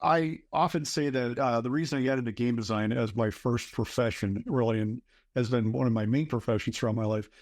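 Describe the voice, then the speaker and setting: A man's voice: mid-range voice, a little fast, darkish tone, no echo, studio quality. A man in his fifties from southern Wisconsin, telling long rambling stories as a guest in a casual interview. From southern Wisconsin, USA. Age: 50s. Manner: rambling storyteller with many fillers. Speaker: guest, author and game designer.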